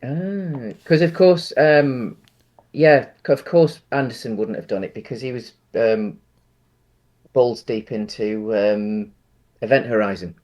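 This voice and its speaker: A man co-hosting a casual film discussion, speaking in a halting, hesitant way with frequent ums. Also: medium pitch, darkish tone. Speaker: podcast co-host.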